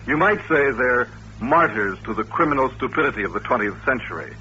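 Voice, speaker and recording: A patient, courteous doctor in an old radio play. He explains in a measured, polite way with a crisp, forward brightness. The sound is dull vintage radio with slight hiss. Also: medium pitch, expressive. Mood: earnest, explanatory. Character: courteous, patient male doctor running a mutant institution. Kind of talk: old-time radio drama acting.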